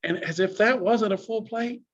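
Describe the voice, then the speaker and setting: A man's voice: sits high, normal speed, dull audio. A man hosting a podcast, introducing the show and praising the guest with upbeat enthusiasm. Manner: upbeat, enthusiastic host patter. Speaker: podcast host. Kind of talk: casual podcast chat.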